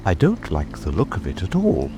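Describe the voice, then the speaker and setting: Man as haughty storybook king, fussy, extremely animated pitch, mid-range voice, music behind. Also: normal speed, exaggerated voice. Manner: haughty, imperious. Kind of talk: dramatic audiobook reading.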